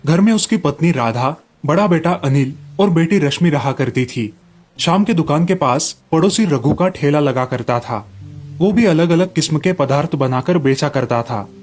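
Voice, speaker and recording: A man's voice: on the high side, a little mellow. A man reading scripted narration for children's moral stories as the storyteller.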